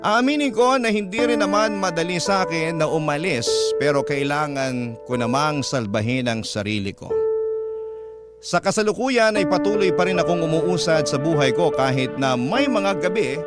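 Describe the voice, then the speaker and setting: A male radio host reads a confessional letter as dramatic narration. His voice is fairly high, measured and sentimental, with loud instrumental music running behind it. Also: lively intonation. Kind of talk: dramatic narration of a listener's letter in first person. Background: prominent instrumental music.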